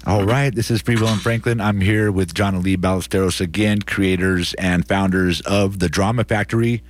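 An American man hosts a community radio show in a casual, conversational manner. His voice sits on the low side, and the sound is dry, with very little room in it. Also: fairly even intonation, normal speed, dry recording. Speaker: radio host and interviewer. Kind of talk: live community radio presenting.

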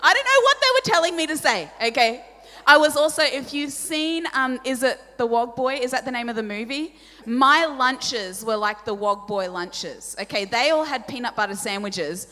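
A woman in her forties with an Australian accent, a native Polish speaker, preaching a sermon with animated, self-deprecating storytelling and questions to the audience. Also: sits high, normal speed. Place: large church hall. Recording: church hall reverb.

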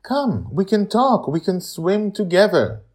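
A man's voice: high voice, sing-song, unhurried, a little mellow, pitched above the performer's own voice, heavily acted. A man voicing an eager, sociable young female friend in a story podcast, earnest and coaxing.

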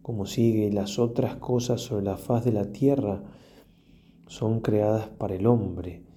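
Slow, mellow priest leading meditation. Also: male voice, lowish voice, a little hiss or hum. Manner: solemn, reflective, with long pauses and softly trailing phrases. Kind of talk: guided prayer meditation.

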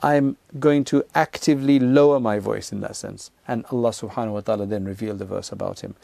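A man's voice: medium pitch, gravelly, warm tone, clear, resonant tone, no echo, studio quality. A man teaching a religious lesson, retelling stories and quoting Arabic before explaining them in English with frequent asides. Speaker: Islamic teacher. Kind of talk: religious lecture retelling hadith.

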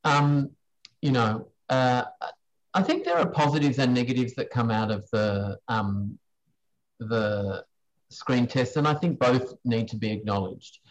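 A man giving unscripted, hesitant answers in a question-and-answer session, halting as he searches for words. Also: medium pitch, poor audio. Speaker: guest speaker, screen industry professional answering questions.

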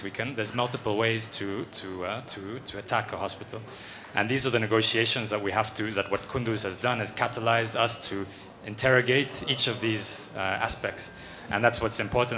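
Bright-voiced measured man. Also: lowish voice. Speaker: panelist for a medical aid group. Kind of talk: panel Q&A answer.

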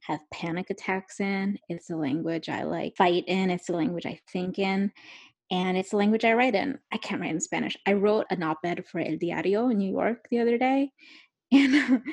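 A woman's voice: mid-range voice, a little mellow, husky. A young adult woman author talking candidly and rambling, with lots of filler words and laughing asides. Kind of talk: candid conversational talk.

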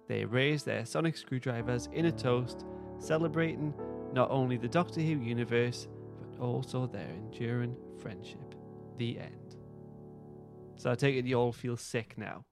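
A man's voice: mid-range voice, creaky. A man hosting a podcast, reading script narration aloud in an amused way. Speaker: podcast host.

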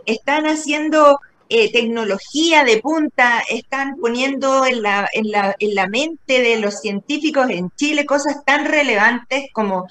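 A woman's voice: high-pitched, a bit bright. A woman hosting a casual interview, asking long winding questions with an effusive, repeating manner. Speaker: interview host.

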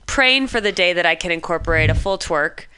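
A woman in her thirties co-hosting a casual podcast, animated and giggly as she tells stories. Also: on the low side, brisk, clear, resonant tone. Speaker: podcast co-host.

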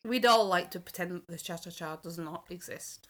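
A woman's voice: lowish voice, moderate pace, a bit bright. A woman chatting casually on a podcast panel. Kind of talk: casual chat.

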